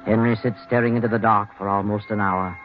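A deep-voiced, sinister storyteller played by a male actor narrates a vintage radio mystery. He is measured, knowing and ominous, over prominent music on a dull old recording. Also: steady tone, close to an everyday voice. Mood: ominous narration.

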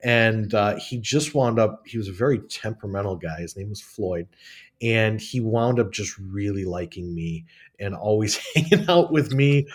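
A young man from northern New York State, a law school faculty member, chatting as a podcast guest with fillers and small laughs. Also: medium pitch. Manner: friendly, rambling, with fillers and chuckles. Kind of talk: casual interview answers.

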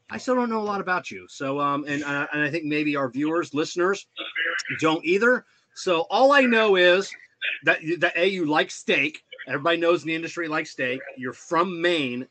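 Bright, higher-voiced chatty male host. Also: poor audio. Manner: chatty, stumbling repetitions. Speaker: podcast host. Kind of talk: casual interview chat.

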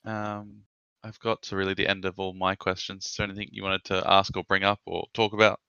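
An Australian man with an Australian accent, asking questions in a relaxed, casual interview chat. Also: deep voice, brisk, vocal fry, clean tone, no room sound. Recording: dull, dry sound.

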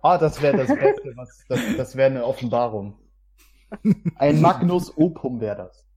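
A man's voice: medium pitch, expressive, measured pace, mellow, breathy edge. A man talking in a casual group podcast chat.

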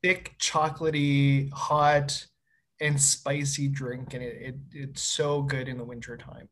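A man's voice: medium pitch, flat delivery, slow, fairly bright tone. A young man from Winnipeg co-hosting a casual conversation, upbeat and encouraging. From Winnipeg, Canada. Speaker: co-host. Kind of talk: casual conversation over video call.

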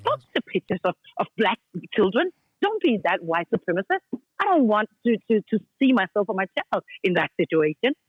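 A woman's voice: mid-range voice, sing-song, brisk, husky, no echo. A woman speaking English in non-native grammar as a podcast guest, blunt and emphatic, driving her points home with repeated commands. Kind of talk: casual chat. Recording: dry, dull lo-fi call line.

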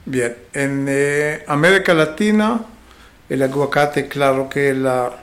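A mature man with an Israeli accent speaks as an interview guest. His delivery is slow and halting, feeling for words in a language that is not his first, at an average male pitch. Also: a bit bright. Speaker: interview guest, fruit export marketing specialist. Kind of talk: conversational interview answers.

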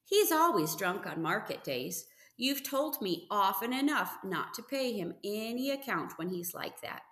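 A woman voicing an eager, gentle thirteen-year-old farm boy in a storybook reading, earnest and excitable. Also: mid-range voice, unhurried, roomy sound. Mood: defiant.

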